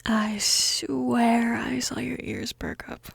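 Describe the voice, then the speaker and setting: A woman playing a young goth roommate in an intimate audio roleplay, speaking in a gentle, hesitant, teasing murmur that often slips into whispering. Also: on the high side, huge pitch swings, takes it slowly, gravelly, breathy edge, dry recording, full character voice. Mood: teasing, shy.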